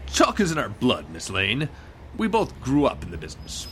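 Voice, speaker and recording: A man's voice: medium pitch, huge pitch swings, moderate pace, a bit bright, slight background noise. A man playing an earnest, slightly evasive businessman under suspicion, formal and hammy, in old-time radio mystery style. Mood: proud, formal.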